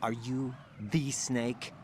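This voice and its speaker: A man's voice: medium pitch, level tone, takes it slowly, a little hiss or hum, close to an everyday voice. An actor playing a young, earnest American rookie operative in a video game, doubting and questioning as he speaks. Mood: uncertain. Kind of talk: video game cutscene acting.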